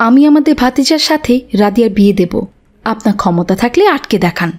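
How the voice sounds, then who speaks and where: A woman's voice: fairly high pitch, animated, fast talker, darkish tone, close to an everyday voice. A woman playing a stern aunt in a cartoon drama, accusing and resolute in defence of an orphan.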